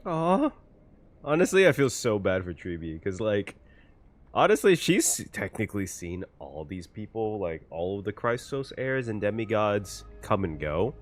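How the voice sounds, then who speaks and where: A man's voice: lowish voice, very animated, a little slow. A man giving casual, chatty reaction commentary on a game, thinking aloud as he goes. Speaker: streamer reacting to a game story.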